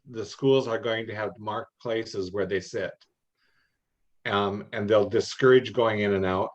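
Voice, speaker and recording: Meeting co-presenter: man, lower voice, informal advice, muffled lo-fi sound. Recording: dull, lo-fi meeting audio.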